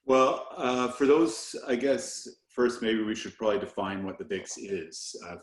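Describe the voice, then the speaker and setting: Man, deep voice, roomy echo, portfolio manager, explanatory market commentary. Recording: roomy, echoing conference call.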